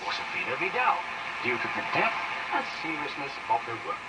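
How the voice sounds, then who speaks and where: Male news commentator, scripted delivery, animated intonation, distant shortwave radio sound. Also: fairly high pitch, a little fast. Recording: noisy shortwave radio, heard from afar.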